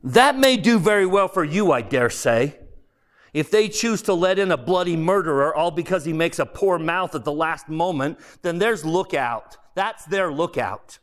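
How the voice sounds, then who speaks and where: A man's voice: a little high, expressive, moderate pace, pitched above the performer's own voice, lightly acted. A man voicing the sulky, indignant ghost of a self-righteous working man in a storybook, blustering and aggrieved in slangy speech. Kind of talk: dramatised audiobook character voice.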